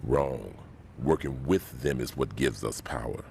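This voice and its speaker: An actor playing a stern, domineering, blunt crime boss in a video game, with an American accent. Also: male voice, very deep voice, measured pace, creaky, a little mellow, slight background noise, full character voice. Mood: angry. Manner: authoritative, blunt.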